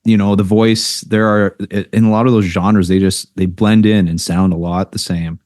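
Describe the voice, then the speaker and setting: A man hosting a podcast, asking questions and chatting in a relaxed, easygoing way with some hesitant restarts. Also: on the low side, normal speed, no echo, clean studio sound. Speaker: podcast host. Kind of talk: casual interview chat.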